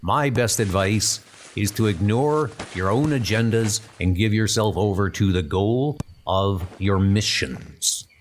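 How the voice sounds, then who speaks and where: Man playing an unhurried, fatherly British commander. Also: on the low side, a little hiss or hum. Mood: reassuring. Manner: reassuring, advising, unhurried. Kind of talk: comic dub spy drama acting.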